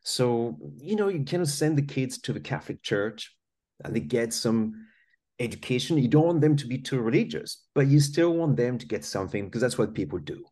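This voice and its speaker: A man's voice: medium pitch, lively intonation, fairly quick, dark tone. A man from France with a French accent, a podcast guest telling his life story in an earnest, conversational way. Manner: earnest, many small restarts and "you know".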